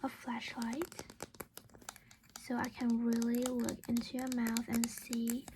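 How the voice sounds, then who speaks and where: A woman playing a doting vampire mother in an ASMR roleplay, speaking in a breathy whisper, gentle and coaxing as if to a child, close to the microphone. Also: on the high side, completely flat delivery, slow, noisy background. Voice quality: breathy whisper with soft creaky voiced patches.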